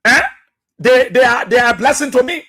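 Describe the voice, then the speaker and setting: A man's voice: fairly quick, gravelly. A man with a Liberian English accent hosting a radio talk show. He repeats himself and exhorts listeners, with many fillers. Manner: low and subdued for birthday greetings, then loud and preachy.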